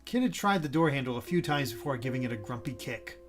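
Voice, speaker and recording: A man's voice: mid-range voice, animated, quick pace. A man co-hosting a game stream, switching between reading story narration aloud and casual commentary.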